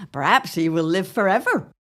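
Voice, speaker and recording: A woman acting an anxious, fanciful farm wife of the early 1900s in an audio drama, with formal, dramatic diction and a husky voice. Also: mid-range voice, a bit bright.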